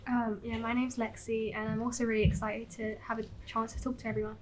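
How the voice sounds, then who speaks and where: Female student, quick-talking, high, upbeat. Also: flat delivery, slight background noise.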